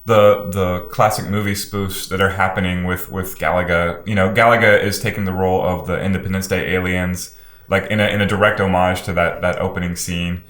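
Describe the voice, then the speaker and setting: A man from Louisiana with a deep voice co-hosts a casual movie podcast. The recording has a noticeable room echo around him. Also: flat delivery, brisk, a bit bright. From Louisiana, USA. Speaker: podcast co-host. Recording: roomy, echoing podcast recording.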